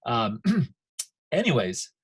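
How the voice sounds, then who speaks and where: A man's voice: mid-range voice, wildly swooping pitch, very slow, breathy edge. An American man giving an informal history lecture as a teacher, conversational and emphatic, checking in with his listeners.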